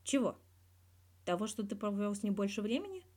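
A woman voicing a calm male interviewer who asks direct, brief questions in a scripted fan fiction audio reading. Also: mid-range voice, fairly quick. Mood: curious.

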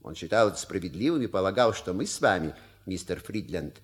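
A man playing a shrewd, courteous manservant in an audio play, with measured, ironic and rhetorical delivery. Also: deep voice, lively intonation. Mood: quietly persuasive. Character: suave, shrewd manservant and proud father. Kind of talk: theatrical audio play acting.

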